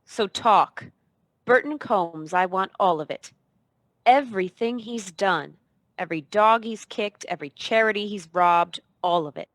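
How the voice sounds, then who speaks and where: Noir radio play: actress as tough female operative, medium pitch, sly, wisecracking and dangerous. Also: a little slow, a bit bright, no room sound, lightly acted. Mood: menacing. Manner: cool, brazen, wisecracking.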